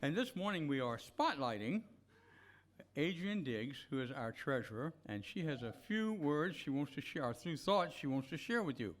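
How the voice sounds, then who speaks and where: A man's voice: on the high side, big pitch swings, moderate pace. A man leading a church service, speaking informally to the congregation about giving. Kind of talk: informal offering talk to a congregation.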